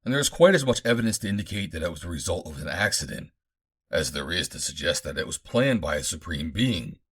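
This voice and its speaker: Man as a lecturing, husky Martian scientist. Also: deep voice, big pitch swings, quick pace, fairly bright tone, heavily acted. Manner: lecturing, formal, grandiose. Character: Martian master scientist, proud, calculating creator of artificial bodies.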